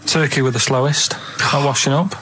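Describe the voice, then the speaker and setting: A man co-hosting a radio show, telling rambling anecdotes in a halting, matter-of-fact way. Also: medium pitch, steady tone, sharp tone, slight background noise, rough recording. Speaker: radio show co-host telling odd stories.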